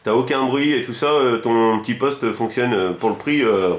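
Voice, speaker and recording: A man's voice: medium pitch, normal speed, reverberant, a little hiss or hum. A man chatting casually as a radio hobbyist, rambling and enthusiastic, often repeating himself. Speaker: amateur CB radio operator.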